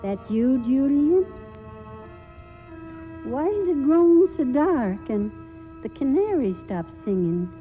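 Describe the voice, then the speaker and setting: Through a tinny old radio broadcast with music behind comes a stubborn old American country wife. She speaks slowly and plainly, in the homely manner of 1940s radio drama. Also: high voice, big pitch swings, exaggerated voice. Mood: startled, indignant.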